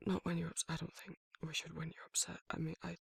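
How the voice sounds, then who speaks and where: A performer voicing a big, tender male werewolf who is shy and awkward. The voice is very gravelly and breathy, often dropping toward a whisper, and it stammers and rambles. It is recorded close and dry, as intimate romantic ASMR roleplay. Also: mid-range voice, level tone, fast talker, a bit bright, no room sound, full character voice. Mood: flustered, longing. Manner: hesitant, rambling, stammering, bashful.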